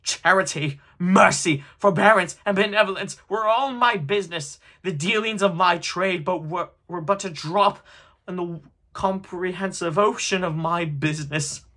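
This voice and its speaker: A man's voice: on the low side, steady tone, vocal fry, sharp tone, husky, heavily acted. A man voicing the mournful, remorseful ghost of a dead businessman in a solemn, declamatory storybook reading. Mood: anguished, wailing. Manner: declamatory, solemn, drawn-out wailing.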